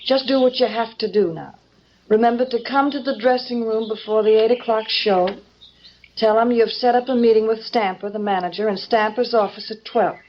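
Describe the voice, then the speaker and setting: An actress playing a scheming, two-faced wife in an old-time radio melodrama, in short dramatic phrases. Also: female voice, on the high side, steady tone, normal speed, natural voice. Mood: cold, conspiratorial. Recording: dull, noisy vintage radio recording.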